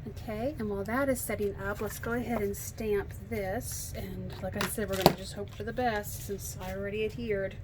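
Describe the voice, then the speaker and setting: A woman's voice: mid-range voice, fairly even intonation, normal speed, hardly any pauses, loud music behind the voice, rough recording. A woman with a slightly froggy voice, chatting casually while she demonstrates card making at a home craft table, thinking aloud and poking fun at herself. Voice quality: slightly froggy, hoarse from allergies. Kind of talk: casual craft tutorial chat.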